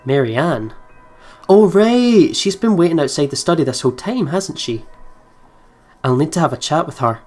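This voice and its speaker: A man's voice: on the high side, sing-song, normal speed, darkish tone. A man doing let's play commentary and reading a game's text aloud in a chatty, reactive way. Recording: music behind the voice. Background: game music.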